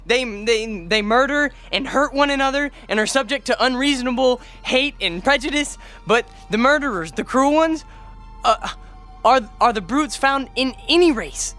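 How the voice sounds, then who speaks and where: Young male alien defector, radio drama. High, sharp voice, pleading, stammering, desperate. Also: lively intonation, normal speed, full character voice. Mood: earnest pleading. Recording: music under the voice.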